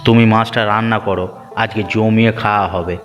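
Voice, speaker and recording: An actor voicing a kind-hearted, poor fisherman in a cartoon, gentle and good-natured. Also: lowish voice, fairly even intonation, brisk, in a large hall, dull audio, faint music behind, natural voice. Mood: cheerful. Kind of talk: Bengali cartoon dubbing.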